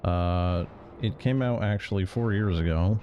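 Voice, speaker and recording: A man's voice: low voice, measured pace, muffled recording, slight background noise. A man doing casual game stream commentary in a rambling, sardonic, sweary way. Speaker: livestreamer.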